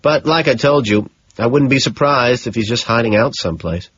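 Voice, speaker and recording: A man's voice: mid-range voice, lively intonation, a little fast, a bit bright, husky, no room sound, lo-fi sound, voice pushed up. A man voicing an earnest, slightly sheepish detective in an audiobook. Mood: matter-of-fact. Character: earnest, rumpled, sheepish male detective questioning a suspect. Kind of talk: audiobook character dialogue.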